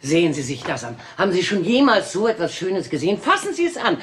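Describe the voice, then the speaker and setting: Eine eitle, alternde Hollywood-Diva, die spöttisch und theatralisch auf Fragen reagiert. Ihre Stimme schwingt lebhaft auf und ab. Dahinter liegt ein leises Rauschen. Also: female voice, fairly low pitch, big pitch swings, quick pace, a bit bright, slight background noise. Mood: flirtatious. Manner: mocking, theatrical, dismissive. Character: aging, vain Hollywood film diva.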